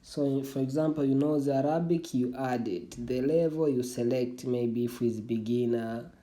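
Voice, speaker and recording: A young adult speaker whose first language is Swahili explains an online form step by step in a casual, halting way. The voice is deep-toned and mellow, sitting at a middle pitch. They restart sentences often and address listeners as family. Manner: halting, with frequent restarts, calls viewers "family". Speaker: tutorial vlogger. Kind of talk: casual screen walkthrough of an online application.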